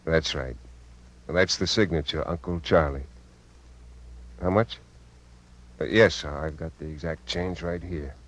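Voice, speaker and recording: An actor playing a suave, confident uncle in a measured 1950s radio drama style. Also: male voice, a bass voice, fairly even intonation, a little hiss or hum, poor audio. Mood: smooth, assured. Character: suave, confident visiting uncle sending a telegram. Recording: vintage 1950s radio broadcast.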